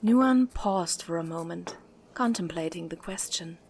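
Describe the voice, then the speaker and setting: A woman's voice: on the low side, a little slow, a little mellow. A woman reading scripted narration for a fantasy audio drama. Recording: noisy recording with clicks.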